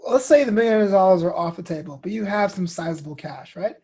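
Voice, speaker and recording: A man's voice: sits high, animated, quick pace, mellow, slightly rough. A man chatting casually as an interview guest, conversational and inclined to joke. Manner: jokey, conversational. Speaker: interview guest, podcaster and comedian. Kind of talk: casual chat.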